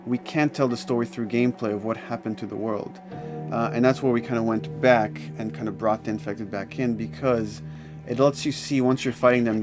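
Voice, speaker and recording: A man explaining his work in a documentary interview as a game developer. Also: medium pitch. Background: background music.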